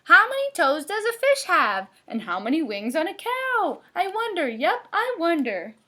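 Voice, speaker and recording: A woman voicing a loud, silly male penguin in a children's storybook, hearty and goofy in manner. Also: very high-pitched, wildly swooping pitch, normal speed, voice pushed up, full character voice. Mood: silly singing.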